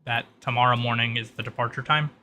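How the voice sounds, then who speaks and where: A man working as game master of a tabletop roleplay, explaining things haltingly as he goes. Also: mid-range voice, fairly even intonation, pushed and bright. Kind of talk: narrating a tabletop game, in and out of character. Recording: noisy mic with keyboard clatter.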